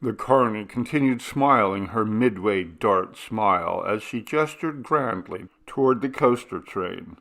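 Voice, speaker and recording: A man's voice: on the low side, expressive, unhurried, hardly any pauses, fairly bright tone. A man narrating a ghost story as a scripted, dramatic audiobook reading.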